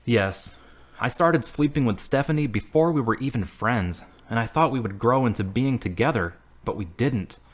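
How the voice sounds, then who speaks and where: Earnest devout man, played by an actor, animated delivery. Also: medium pitch, moderate pace, lightly acted. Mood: serious, firm. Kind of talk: amateur audio drama acting.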